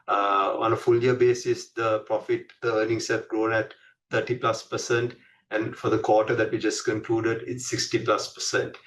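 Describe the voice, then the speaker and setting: A man presenting on an earnings call as a company executive, explaining in a measured corporate way. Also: on the low side, fairly bright tone, recorded from a distance, rough recording.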